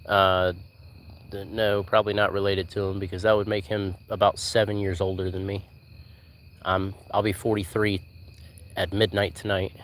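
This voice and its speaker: A man's voice: deep voice, little pitch movement, moderate pace, a bit bright, slight background noise. A man in his forties chatting on a casual live stream while walking outdoors at night, easygoing and rambling, answering viewers by name. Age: 40s. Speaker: livestream host.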